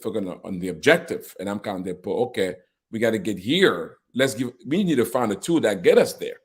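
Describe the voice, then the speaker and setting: A man with a lower voice hosts a podcast conversation. He talks casually and reflectively, thinking aloud as he goes, and laughs easily between points. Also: husky. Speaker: podcast host, organization leader.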